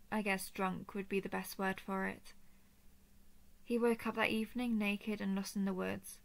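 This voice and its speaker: A woman voicing a gentle, protective female dragon in a scripted roleplay audio drama, soft and soothing with formal, measured diction. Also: mid-range voice, vocal fry. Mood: wistful, fond. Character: gentle, protective female dragon, earnest empathic royal daughter.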